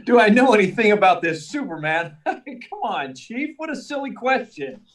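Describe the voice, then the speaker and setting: An earnest young male reporter, acted by a man in old radio-serial style. The voice is high for a man, eager and polite, a little distant from the mic. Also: very animated, fairly bright tone. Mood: amused, dismissive. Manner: earnest, brisk, deferential. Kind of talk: 1940s radio serial acting. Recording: distant mic, live remote performance.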